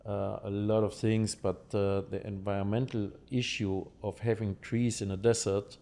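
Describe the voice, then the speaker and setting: Podcast guest: German-accented man, low relaxed voice, halting conversational explainer who checks that the listener follows. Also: gravelly, a little hiss or hum.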